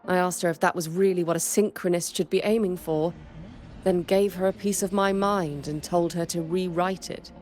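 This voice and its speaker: Game role, actress: world-weary female instructor, slightly low register, stern, composed and dry, soft music underneath. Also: steady tone, normal speed, close to an everyday voice. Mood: wry, wistful. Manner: composed, dry, matter-of-fact. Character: stern, dry, world-weary former teacher, adult woman. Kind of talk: scripted game dialogue.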